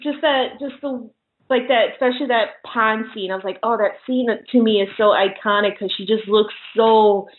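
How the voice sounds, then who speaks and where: A woman's voice: on the high side, steady tone, normal speed. A woman chatting casually as an interview guest, telling personal anecdotes and often giggling as she talks. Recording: muffled phone-like call audio.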